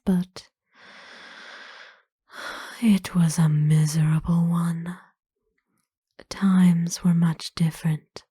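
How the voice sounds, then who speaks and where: A woman voicing a kind, ancient aristocratic vampire lady in a whispered ASMR roleplay, speaking softly with formal, soothing, wistful phrasing. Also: fairly low pitch, no echo, clean studio sound. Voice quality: soft, breathy whisper.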